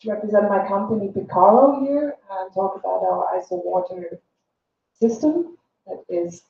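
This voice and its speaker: A woman's voice: mid-range voice, lively intonation, unhurried, vocal fry, a little hiss or hum. A woman giving a brief, friendly greeting as an invited speaker at a workshop. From England. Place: small amphitheater. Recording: distant, roomy, dull livestream audio.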